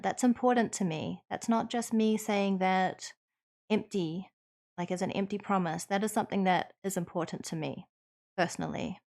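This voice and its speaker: A woman livestream host with a New Zealand accent, talking to her chat in an earnest, measured and thoughtful conversational way. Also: mid-range voice, a little mellow. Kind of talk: conversational livestream Q&A, partly reading chat aloud.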